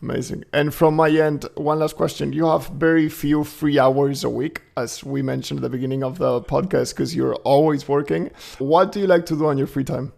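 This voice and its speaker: A man co-hosting a podcast interview, friendly, filling the guest's pauses with short hums. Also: fairly high pitch, fairly even intonation, vocal fry, darkish tone. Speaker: podcast co-host.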